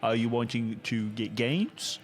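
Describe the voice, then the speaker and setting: A man's voice: medium pitch, measured pace, faint music behind, natural voice. A man voicing a welcoming but melodramatic gym leader who stammers through his patter.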